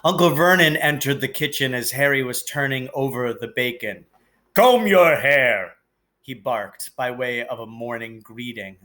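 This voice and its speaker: A man narrating a children's novel aloud in an expressive, deliberate way with emphatic phrasing. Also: medium pitch. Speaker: teacher reading aloud.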